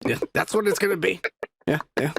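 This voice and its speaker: A man with a Mexican-American accent and a raspy voice, co-hosting a podcast in crude, joking casual banter. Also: sits high, animated, fairly quick, a bit bright, no room sound, studio quality. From United States. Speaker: podcast co-host.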